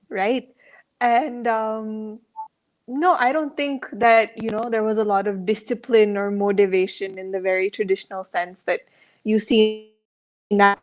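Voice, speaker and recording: A woman from India giving thoughtful, articulate answers as a guest on an online panel, with rhetorical questions and the odd "right?". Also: mid-range voice. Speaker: panel guest speaking as a learner. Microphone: online video call.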